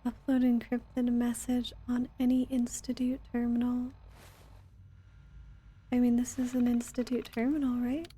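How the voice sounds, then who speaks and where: A woman's voice: fairly high pitch, level tone, a little slow, dark tone, breathy edge. A woman chatting casually on a game livestream, conversational and rambling as she thinks aloud. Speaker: game streamer. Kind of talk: casual livestream chat while playing a game.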